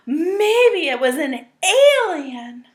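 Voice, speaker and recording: A woman voicing a small, excitable young male bear cub in a storybook, high and drawn-out. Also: heavily acted. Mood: excited.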